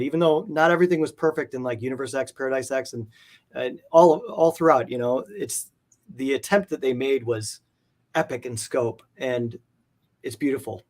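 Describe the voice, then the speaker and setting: A man chatting casually and enthusiastically as an interview guest, rambling and peppering his talk with "like". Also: mid-range voice. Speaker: interview guest, comic art collector. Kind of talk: casual enthusiastic chat about comic art.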